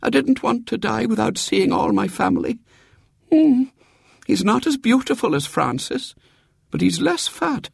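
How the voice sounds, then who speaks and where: A frail old great-aunt from a storybook. The voice is pitched high and sounds feeble. She is imperious and blunt as she sizes people up, recorded dry and close. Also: big pitch swings, a little slow, gravelly, husky, dry recording, heavily acted. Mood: feeble, critical.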